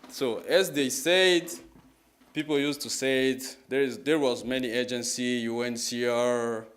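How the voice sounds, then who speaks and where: Slow-speaking young Congolese man. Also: medium pitch, steady tone, a bit bright. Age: 20s. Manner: halting, repeats phrases, plain-spoken. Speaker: guest speaker at a church, sharing testimony. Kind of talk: personal testimony in a public talk.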